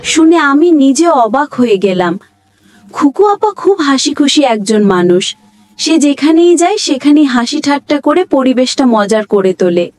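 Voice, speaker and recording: A woman narrating a horror story slowly and deliberately. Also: high voice, big pitch swings, darkish tone, dry recording.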